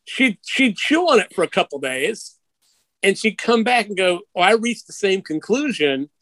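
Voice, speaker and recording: A middle-aged man with a fairly high voice, recorded close and dry. He talks casually and candidly, like a guest sharing personal anecdotes, with a self-deprecating streak. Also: expressive, normal speed, a bit bright, no room sound, low-quality recording. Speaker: interview guest. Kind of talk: casual conversational storytelling.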